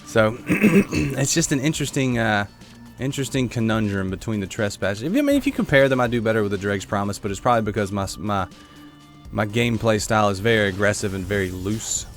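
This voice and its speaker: Man, gameplay commentary, congested throat, throat clearing, animated pitch, music behind. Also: brisk. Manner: chatty, reacts aloud to the game, answers chat. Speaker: gaming YouTuber.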